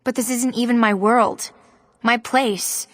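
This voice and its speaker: A woman's voice: on the high side, fairly even intonation, a little slow, dry recording, studio quality, close to an everyday voice. A woman playing an earnest young novice mutant heroine in a video game, speaking plainly and sincerely. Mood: wistful, unsure. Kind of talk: video game cutscene acting.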